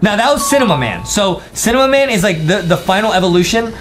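A young man hosting a kid-friendly reaction video, giving playful, slangy, excitable commentary on cartoon episodes. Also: high-pitched, fast. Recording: music bed under the voice. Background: background music.

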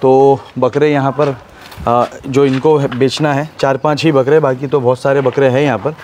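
A man's voice: mid-range voice, flat delivery, moderate pace, a little mellow, slight background noise. A man vlogging casually at an outdoor livestock farm, chatting and asking the seller one question after another. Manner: chatty, asks many follow-up questions. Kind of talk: casual on-location vlog chat. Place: outdoor goat farm yard.

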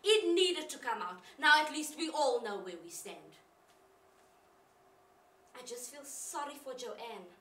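A woman's voice: high-pitched, expressive, measured pace, pauses often, pushed and bright, full character voice. An actress performing a dramatic monologue as a fiery, rueful sister confessing her temper to God in colloquial, emphatic speech. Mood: agitated, defensive. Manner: colloquial, emphatic, self-questioning. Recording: roomy, distant mic, faint noise.

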